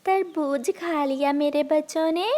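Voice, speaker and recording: Cartoon mama bird, played by a woman: tender, anxious, coaxing, high and unhurried. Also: warm tone. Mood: cheerful, tender. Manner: motherly, coaxing.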